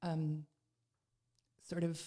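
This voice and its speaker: A woman's voice: low-pitched, completely flat delivery, very slow, warm tone. A middle-aged woman from Canada, a podcast guest telling her story in casual chat, with lots of fillers and restarts.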